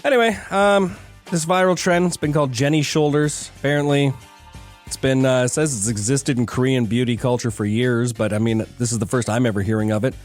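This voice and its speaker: A man's voice: medium pitch, fairly quick, faint music behind. A man co-hosting a morning radio show with casual, chatty banter. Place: radio studio. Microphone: studio broadcast mic.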